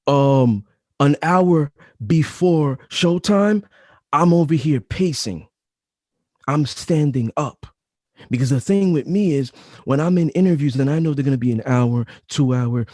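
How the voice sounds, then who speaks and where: A man with a deep, mellow, dark voice talks as a guest in a casual interview. He is emphatic and slangy and repeats phrases for weight. His voice sounds dry and close. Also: no room sound. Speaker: author and guest. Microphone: home mic setup.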